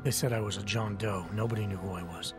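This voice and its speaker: A man's voice: lowish voice, fairly even intonation, quick pace, loud music behind the voice, close to an everyday voice. An actor playing a terse, guarded augmented male agent in a video game cutscene.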